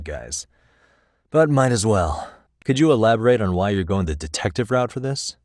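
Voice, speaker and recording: A man's voice: low voice, no echo, studio quality. A man playing a wry, easygoing private detective in a video game, speaking in a casual, conversational way. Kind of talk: video game dialogue voice acting.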